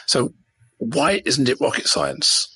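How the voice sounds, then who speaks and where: A man's voice: mid-range voice, very animated, slow pace, sharp tone, husky. A man in his fifties interviewing a guest, affirming her points and asking tag questions. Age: 50s. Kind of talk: conversational interview.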